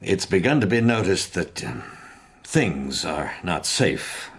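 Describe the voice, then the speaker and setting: An actor playing a worried, proud middle-aged gentleman in a period radio drama, hesitant and formal in his delivery. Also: sits low, big pitch swings, takes it slowly, breathy edge, heavily acted. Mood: troubled, reluctant. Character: worried, proud older society father, a gentleman client.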